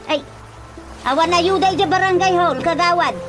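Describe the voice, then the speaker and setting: A woman playing an excitable, insistent village woman with an Ilocano accent in a radio comedy drama. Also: very high-pitched, moderate pace, music in the background. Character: nagging, excitable village woman seeking food aid.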